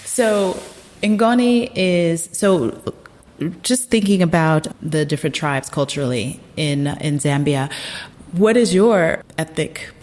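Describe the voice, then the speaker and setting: A woman's voice: sits low, lively intonation, takes it slowly, darkish tone. A woman hosting a podcast, interviewing her guest in an eager, affirming way. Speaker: podcast host. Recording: long reverb.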